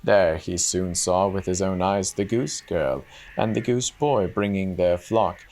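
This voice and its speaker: A man's voice: sits low, measured pace, a little mellow. A man giving a dramatic reading of a fairy tale as a storyteller.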